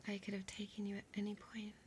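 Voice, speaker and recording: A woman playing an ancient, seductive and possessive female vampire in an intimate audio roleplay, speaking in a breathy, teasing whisper close to the mic. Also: mid-range voice, completely flat delivery, noisy background, lightly acted. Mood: yearning, sorrowful. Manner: slow, drawn-out, teasing delivery.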